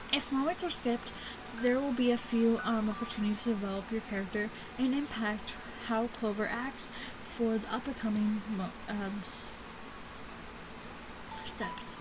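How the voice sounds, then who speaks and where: A woman hosting a casual let's play, reading game text aloud in a halting way with small stumbles. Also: medium pitch, music in the background. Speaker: gaming video host. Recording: clearly noisy home recording.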